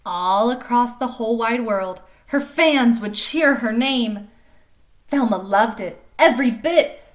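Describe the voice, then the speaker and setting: A woman reading a picture book aloud to young children in an animated way, pausing to explain and ask questions like a teacher. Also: on the high side. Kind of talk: expressive storybook read-aloud with chatty explanations to children.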